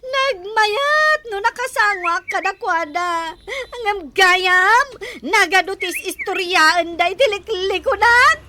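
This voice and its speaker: A quarrelsome, troubled young village daughter in a Filipino radio drama. Her voice is very high and whiny, with slow, drawn-out exclamations. The recording is noisy. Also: sharp tone, full character voice. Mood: upset, tearful. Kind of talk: Ilocano radio drama acting.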